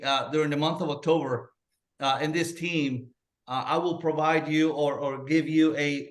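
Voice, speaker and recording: A man on a team video call pitching his services, halting speech with lots of fillers. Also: a bit bright. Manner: halting, many fillers and restarts.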